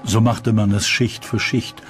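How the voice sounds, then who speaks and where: An actor voicing a grave medieval chronicler in standard German, solemn and deliberate, in a documentary's dramatised readings. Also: male voice, lowish voice, little pitch movement, close to an everyday voice. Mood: sombre, grieving. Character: grave medieval male chronicler recounting horrors. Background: background music.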